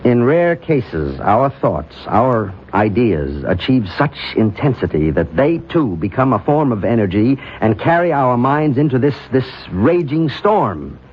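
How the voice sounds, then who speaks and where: An actor playing a firm, earnest doctor in an old radio drama, speaking with conviction. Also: male voice, mid-range voice, big pitch swings, measured pace, few pauses, slightly rough. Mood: earnest, intense. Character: earnest, firm doctor explaining an impossible theory. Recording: muffled, hissy old radio recording.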